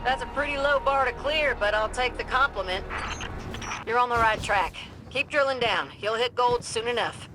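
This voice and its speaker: A woman's voice: a little high, moderate pace, noisy background, natural voice. A woman playing a breezy, confident, friendly pilot in a video game, casual and joking. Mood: breezy, teasing. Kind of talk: video game radio chatter.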